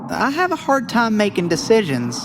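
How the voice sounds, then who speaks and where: A man's voice: high-pitched, wildly swooping pitch, normal speed, noisy background, voice pushed up, heavily acted. A man voicing a puzzled, indecisive wanderer in a storybook tale, speaking in a hesitant, unsure way.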